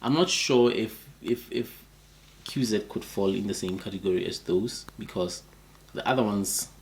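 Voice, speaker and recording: A man co-hosting a casual chat, his voice a little off from a flu, talking in a relaxed, musing way. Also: fairly low pitch, moderate pace, gravelly, a little hiss or hum. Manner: relaxed, musing, laughs easily. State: sick with flu, voice a bit off. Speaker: co-host.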